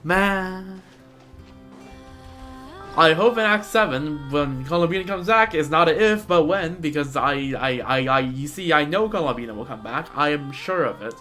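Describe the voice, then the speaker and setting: Male game streamer, very bright voice, slightly high, rambling casual commentary, faint game music behind. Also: expressive. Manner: rambling, halting, repeats words. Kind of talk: casual live gameplay commentary.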